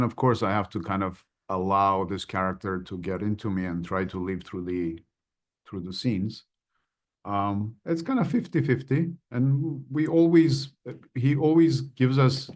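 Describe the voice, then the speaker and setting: A man with a low voice answers interview questions. He speaks in a measured, thoughtful way with a slightly formal tone. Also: steady tone, darkish tone. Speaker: actor, interview guest. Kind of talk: casual interview talk.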